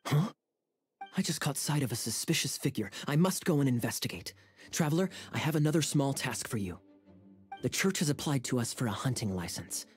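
A charming, sly young knight captain from a video game. His mid-pitched voice swoops expressively, smooth and amused. Faint game music sits underneath. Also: lively intonation, a little fast. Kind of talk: game voice acting.

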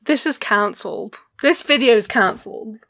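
Young adult woman, higher than average pitch, chatty self-mocking vlogger, dry bedroom read. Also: animated, moderate pace, a bit bright, no echo. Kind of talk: casual reaction commentary. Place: bedroom, indoors.

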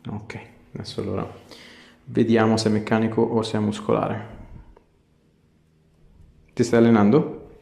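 A man's voice: fairly low pitch, completely flat delivery, normal speed, vocal fry, pauses often, dark tone. A man, a chiropractor giving short instructions and questions during an exam. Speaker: chiropractor and video host. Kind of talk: clinical exam talk with patient. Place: small tiled clinic treatment room. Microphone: lapel clip-on. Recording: echoey small room.

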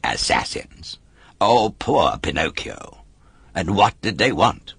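A sly storybook fox, played by a man, drawls with oily, theatrical sympathy. The voice is husky and low, full of false virtue and swooping emphasis. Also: big pitch swings, takes it slowly, fairly bright tone, dry recording, full character voice. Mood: mock sympathy.

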